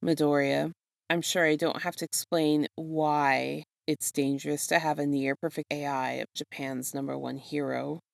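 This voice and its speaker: A stern, tired male teacher, played by a woman in a story reading. He talks in a low, gravelly deadpan, measured and unimpressed. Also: no room sound, clean studio sound. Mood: wary, stern. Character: weary, stern adult male schoolteacher.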